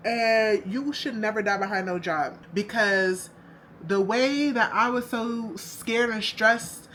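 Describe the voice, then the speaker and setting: A woman's voice: mid-range voice, fairly bright tone, slight background noise. A woman in her thirties speaking candidly and from the heart in a casual confessional vlog, stretching words for emphasis. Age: 30s. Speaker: vlogger.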